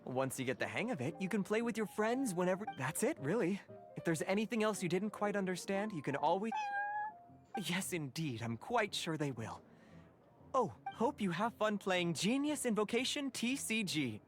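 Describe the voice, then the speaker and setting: Game role: composed, helpful explainer played by a woman, middle register, lively inflection, courteous delivery. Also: mid-range voice, soft background music, close to an everyday voice. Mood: helpful, pleased. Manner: measured, instructive, polite. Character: composed, courteous tavern card-game official. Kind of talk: video game character dialogue.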